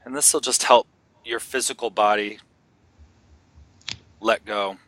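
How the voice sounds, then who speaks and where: Low-voiced man guiding a meditation, bright tone. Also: steady tone, dry recording. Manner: gentle, unhurried instruction with long pauses. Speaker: guided meditation leader. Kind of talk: guided meditation.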